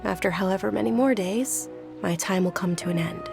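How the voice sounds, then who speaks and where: Woman as a gentle, frail young noblewoman, creaky. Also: medium pitch, a little fast, lightly acted. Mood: resigned. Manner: soft-spoken, polite, resigned. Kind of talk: video game character acting. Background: music.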